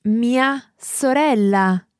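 A woman tutoring a language lesson, modelling phrases slowly and carefully and praising the learner. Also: on the high side, no echo, studio quality. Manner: slow, careful, encouraging pronunciation. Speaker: native-speaker language tutor.

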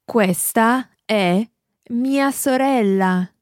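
Female tutor, slow careful speech, fairly high voice, dry close sound. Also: no echo. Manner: slow, careful, encouraging pronunciation. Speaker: native-speaker language tutor. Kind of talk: modelling pronunciation and praising.

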